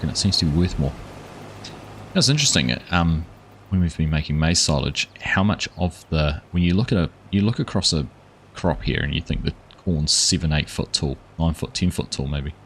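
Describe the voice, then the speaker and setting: A man's voice: extremely low pitch, slight background noise. A man with a New Zealand accent, chatting casually with viewers while streaming a farming game. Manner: relaxed, rambling, chats with viewers. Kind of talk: casual gameplay livestream chat.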